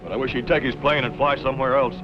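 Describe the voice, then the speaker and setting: Man doing a jittery sarcastic crook sidekick, talks quick, grumbly, dull old radio sound. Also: medium pitch, fairly even intonation, natural voice. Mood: uneasy, sarcastic. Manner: sarcastic, worried. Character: nervy, grumbling male henchman thief, sarcastic worrier. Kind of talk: 1950s radio drama acting. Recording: muffled old radio recording, music behind.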